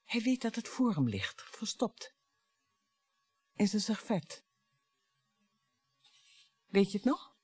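A bitter, wounded wife and mother in a radio play. Her pitch swings widely and dramatically, with sarcasm and sudden drops to a hush. The sound is dry and close, with music in the recording. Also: sing-song, takes it slowly, long pauses, breathy edge, no echo, exaggerated voice. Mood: tense, intense. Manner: theatrical, sarcastic, swinging from hushed to emphatic.

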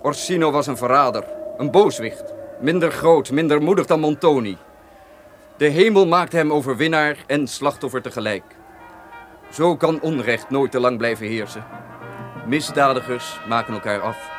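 An actor playing a noble, commanding hero of justice in an old-fashioned radio drama, declaiming formally. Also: male voice, mid-range voice, moderate pace, music in the background, close to an everyday voice. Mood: solemn. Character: noble, righteous young hero demanding justice.

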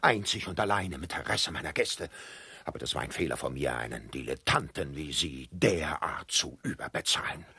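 An actor playing a pompous, blustering Prussian hotelier with a raspy voice and a contemptuous manner in a radio drama. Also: male voice, very deep voice, lively intonation, moderate pace, sharp tone, dry recording, heavily acted. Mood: haughty. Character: pompous, spiteful Prussian hotelier, quick to fury. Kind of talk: German radio drama acting.

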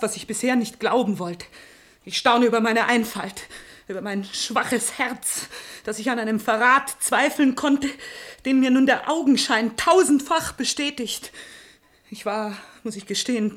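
A woman's voice: a little high, lightly acted. An actress playing a proud, betrayed noblewoman, solemn and reproachful, in declamatory 1950s radio drama style. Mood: icy reproach. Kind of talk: 1950s German classical radio play acting.

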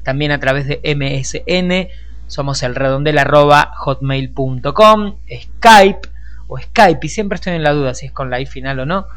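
Argentine male radio host, higher-pitched voice. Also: normal speed, a bit bright. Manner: discursive, addresses listeners directly. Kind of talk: conversational radio presenting.

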